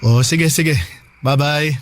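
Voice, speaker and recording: A man's voice: on the high side, fairly even intonation, slow, noisy background. A Filipino man playing an anxious, protective husband, fretting and urging in conversational radio drama dialogue. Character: anxious, protective provincial husband on a phone call. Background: faint music.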